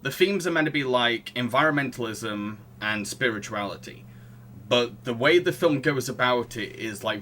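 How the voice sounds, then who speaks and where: Bright-voiced man chatting on a podcast. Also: medium pitch, a little hiss or hum. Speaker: podcast host. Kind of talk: casual film review chat.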